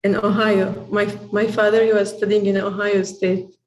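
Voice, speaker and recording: A woman's voice: medium pitch, flat delivery, normal speed, darkish tone. A woman chatting casually on a video call, polite and a little hesitant in how she talks. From born in the United States, of Jordanian descent. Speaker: medical education center staff, former interpreter. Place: indoor room, on a video call. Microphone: computer video call microphone. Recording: roomy, echoing video call audio.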